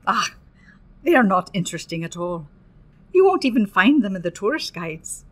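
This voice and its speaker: A woman's voice: medium pitch, sing-song, normal speed. A woman playing a motherly, superstitious Irish innkeeper in a radio play, folksy and emphatic, with an Irish accent. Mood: evasive, discouraging. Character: homely, superstitious Irish woman innkeeper, hospitable but guarded.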